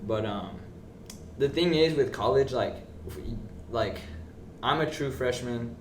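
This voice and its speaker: A young man in his late teens chats casually as a podcast guest, in slangy, youthful speech at an average male pitch. He sounds set back from the mic in an echoey room, with clear noise behind him. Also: a little slow. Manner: slangy, says bro often. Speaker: podcast guest, college soccer player. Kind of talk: casual chat. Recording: roomy, distant mic, loud background noise.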